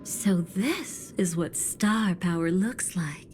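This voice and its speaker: A woman's voice: lowish voice, slow, a little mellow, slight background noise. A woman playing a mysterious, knowing woman in a video game, composed and articulate with a slight teasing confidence. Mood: intrigued, wry. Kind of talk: scripted game voice acting.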